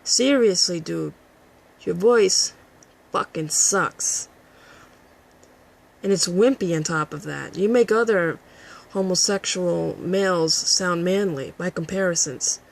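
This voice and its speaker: A woman with a raspy, husky voice, ranting combatively and with a lot of profanity at a critic in a vlog. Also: lowish voice, takes it slowly. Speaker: vlogger. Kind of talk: addressing a critic to camera.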